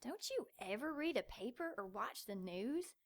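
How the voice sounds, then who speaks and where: Folksy diner waitress, high bright pitch, animated and chatty. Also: female voice, normal speed. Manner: friendly, gossipy, folksy. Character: chatty small-town female diner waitress.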